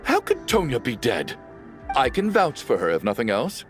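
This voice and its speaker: An actor playing a blustering, hot-tempered man in a video game, combative, defensive and quick to sputter. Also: mid-range voice, wildly swooping pitch, faint music behind. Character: blustering, hot-tempered, accusing male troublemaker. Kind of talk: video game cutscene acting.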